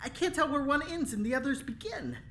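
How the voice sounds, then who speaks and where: An actor playing an eager, insecure, chatty engineer in an audio drama, self-conscious and halting in delivery. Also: high-pitched, expressive, fairly bright tone, a little hiss or hum. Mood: flustered.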